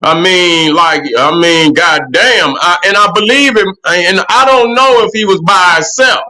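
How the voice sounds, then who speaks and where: High bright-voiced profane livestream host. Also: male voice, a little slow. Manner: profane, rambling, repeats "you know what I'm saying". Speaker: livestream host answering chat. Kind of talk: casual livestream commentary.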